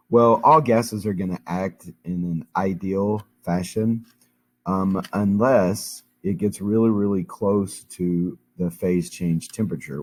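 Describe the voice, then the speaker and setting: A man teaching a chemistry lesson while hoarse and losing his voice, explaining each step patiently with frequent ums. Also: deep voice, darkish tone. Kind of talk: recorded classroom lecture.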